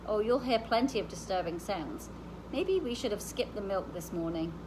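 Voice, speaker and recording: Woman reading as a calm, knowing caretaker, medium pitch. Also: normal speed, background noise, lightly acted. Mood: matter-of-fact. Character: calm, knowledgeable, practical adult woman caretaker.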